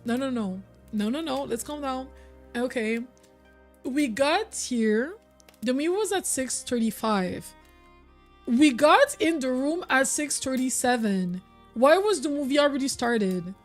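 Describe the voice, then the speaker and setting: High-voiced chatty woman streamer. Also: animated, measured pace, soft background music. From Quebec, originally Cameroon. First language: French. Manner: chatty, lots of "like" and repeats. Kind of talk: casual live-stream chat.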